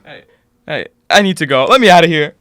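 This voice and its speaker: An overwrought ancient king played by a man panics in an audio comedy. The delivery swings widely in pitch, flustered and rambling, over a clearly noisy, clicking mic. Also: animated, fairly quick, lightly acted. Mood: panicked. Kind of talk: comedic audio drama acting.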